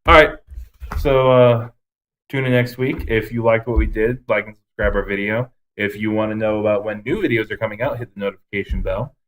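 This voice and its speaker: A man's voice: fairly low pitch, steady tone, brisk, fairly bright tone, poor audio. Casual young male podcast co-host. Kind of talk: casual opinionated banter.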